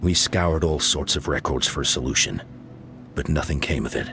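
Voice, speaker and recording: Solemn old-school chief type in a game, deep low voice, formal and grave. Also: male voice, expressive, moderate pace, creaky, music in the background, full character voice. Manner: formal, explanatory, somber. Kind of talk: video game voice acting.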